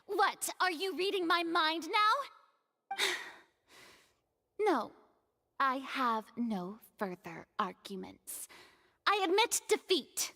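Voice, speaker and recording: A woman's voice: extremely high pitch, expressive, extremely slow, pauses often, sharp tone, long reverb tail, full character voice. An actress voicing a proud, theatrical young woman who sighs and sulks in a video game. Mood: sulky. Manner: flustered, sulky, dramatic sighs.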